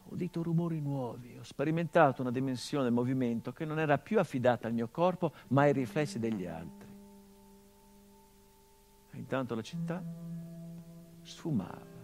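An actor playing a hardened, grieving middle-aged son, delivering a measured theatrical monologue that drops to hushed tones. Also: male voice, mid-range voice, lively intonation, soft background music, lightly acted. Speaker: stage actor.

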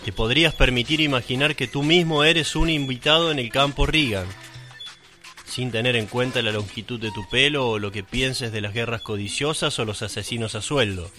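A man from Argentina reading a scripted radio narration in a measured, solemn way, addressing the listener directly. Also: mid-range voice, over music. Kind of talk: scripted dramatic radio narration over music.

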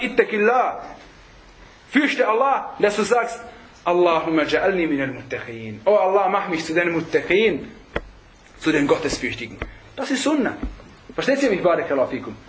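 A man's voice: high-pitched, sing-song, normal speed, a bit bright, a little hiss or hum, rough recording. A man preaching in an informal religious lesson, conversational and full of rhetorical check-backs to his listeners, with Arabic phrases mixed in. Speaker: Islamic preacher teaching a group.

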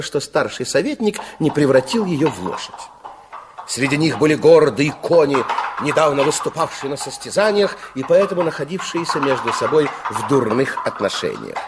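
A man narrating a 1960s radio play as a wry, theatrical storyteller with expressive scripted delivery. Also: fairly high pitch, background noise.

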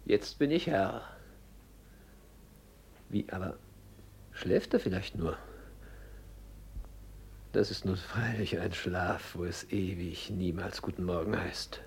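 Cold male radio drama villain: very low, unhurried, declamatory and sinister. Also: creaky, full character voice. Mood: sinister triumph. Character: cold, scornful male villain unmasking himself. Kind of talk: classic German theatrical radio drama monologue. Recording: faint noise on radio recording.

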